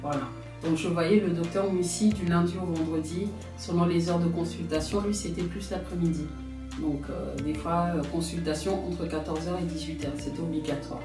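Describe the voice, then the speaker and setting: Reflective woman in her thirties, slightly low. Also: fairly even intonation, normal speed, music in the background. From France. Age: 30s. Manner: reflective, hesitant, searching for words. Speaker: guest. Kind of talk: personal testimony in an interview. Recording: roomy, from a distance.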